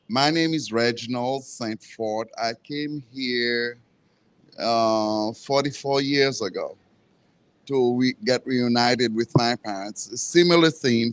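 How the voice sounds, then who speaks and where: A man with a Haitian accent, a native Creole speaker, giving halting, measured personal remarks at a press conference. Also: medium pitch, a bit bright, clean tone, no room sound. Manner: halting, measured, with self-corrections. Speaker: librarian, campaign participant. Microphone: event microphone.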